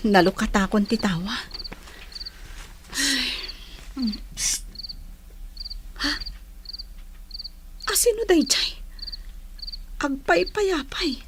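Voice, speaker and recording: A woman's voice: sits high, background noise, exaggerated voice. A woman playing a plaintive young bride unhappy with an arranged marriage, with an Ilocano accent, in a comedy drama. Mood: startled, hushed. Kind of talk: Filipino comedy-drama dialogue.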